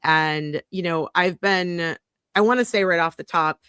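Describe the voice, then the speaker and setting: A woman's voice: on the low side, unhurried, smooth, dry recording, studio quality. An American woman hosting a live news talk stream, chatty with frequent ums and restarts, on a home video call. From United States. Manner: chatty, warm greetings, many ums and restarts. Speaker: host of a news show.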